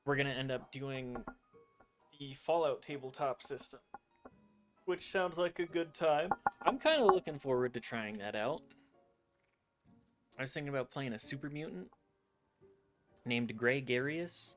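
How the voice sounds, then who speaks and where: A young male streamer with a mid-pitched voice who chats playfully and rambles as he plays. Game music runs faintly under his voice. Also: expressive, unhurried, pauses often. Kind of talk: gameplay commentary.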